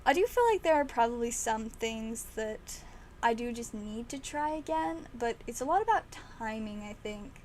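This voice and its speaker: A woman hosting a card-opening livestream, chatting casually and excitedly, her voice slightly raspy and strained. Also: on the high side, a little hiss or hum. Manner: chatty and excitable, with frequent laughs. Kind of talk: casual livestream chat while opening packs.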